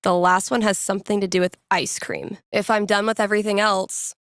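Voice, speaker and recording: A woman's voice: mid-range voice, flat delivery, a little fast, a bit bright, smooth, no echo, close to an everyday voice. A woman playing a wry young record store worker and nerdy musician in a video game, talking casually to herself with dry sarcasm. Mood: matter-of-fact. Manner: casual, dryly sarcastic, with sighs and playful asides. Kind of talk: video game character thinking aloud.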